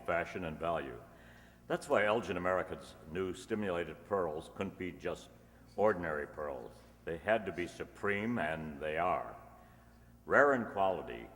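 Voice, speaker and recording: A man playing a polished, eager male announcer and sponsor pitchman on an old-time radio show. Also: fairly bright tone. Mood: smooth selling. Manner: polished, salesmanlike. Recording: echoey hall, long reverb.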